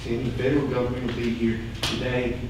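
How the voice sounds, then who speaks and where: A man playing an exasperated, folksy, volatile pig farm boss in a stage play, with emphatic drawn-out delivery. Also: medium pitch, flat delivery, close to an everyday voice. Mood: stern, insistent. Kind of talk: theatrical stage play acting. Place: theater stage, filmed live from a distance. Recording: echoey theater stage, distant mic, noisy.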